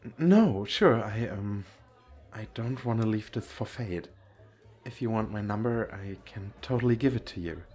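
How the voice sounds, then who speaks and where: Man acting a shy, softly flirty crossdressing guy. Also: lowish voice, animated, moderate pace, husky, soft background music. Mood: shy, hopeful. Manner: hesitant, stammering, giggly. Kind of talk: ASMR roleplay.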